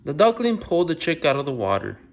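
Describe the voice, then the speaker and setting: A man with a slightly high voice and very varied pitch hosts a picture book read-aloud. He narrates for children and talks in an informal, chatty way. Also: big pitch swings, quick pace, creaky, a little hiss or hum.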